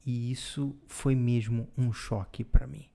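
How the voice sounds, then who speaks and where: A man, co-hosting a podcast and explaining things in a calm, casual way, often ending with a questioning tag. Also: medium pitch, unhurried, vocal fry. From Brazil. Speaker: podcast co-host. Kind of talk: casual conversational storytelling.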